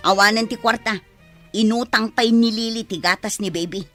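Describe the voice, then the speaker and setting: A woman's voice: fairly high pitch, steady tone, moderate pace, a bit bright, faint music behind, natural voice. A woman with an Ilocano accent playing a defensive, nagging village wife and mother in a radio comedy-drama. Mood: combative, scolding.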